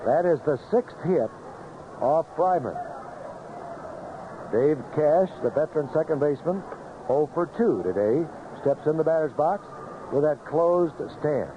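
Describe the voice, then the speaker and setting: A man's voice: a little high, animated, unhurried, long pauses, muffled recording, noisy background. A man calling baseball play-by-play live on a vintage radio broadcast. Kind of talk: live radio sports commentary. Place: outdoor ballpark broadcast booth.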